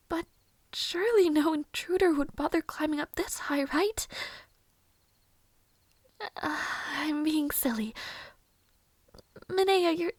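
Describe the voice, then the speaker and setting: A woman plays a tender young maid in a fantasy romance roleplay. Her voice is very high and slow, soft to the edge of a whisper, in a close, dry recording. She speaks hesitantly and formally, full of anxious longing and self-doubt. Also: dry recording. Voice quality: soft, breathy, often near whisper. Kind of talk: intimate audio roleplay acting.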